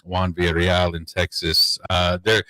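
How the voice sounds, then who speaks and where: A man with a deep bass voice, a comedian guest on a radio show, telling stories in an easygoing, rambling way. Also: completely flat delivery, a little slow, pushed and bright, dry recording. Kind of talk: casual radio interview. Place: radio studio.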